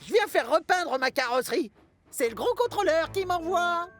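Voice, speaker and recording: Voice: very high voice, moderate pace, soft background music. An actor voicing a cheeky young twin tank engine, a boyish, mischievous prankster in a French cartoon dub. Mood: sly, scheming. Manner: mischievous, lively, teasing.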